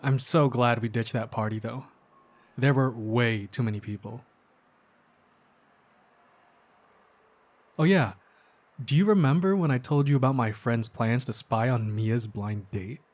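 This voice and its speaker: A man's voice: mid-range voice, normal speed, natural voice. A young man playing a caring, earnest best friend in an intimate ASMR roleplay, talking in a casual, slightly hesitant, conversational way. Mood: chatty, upbeat. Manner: soft-hearted, hesitant, casual chatty phrasing.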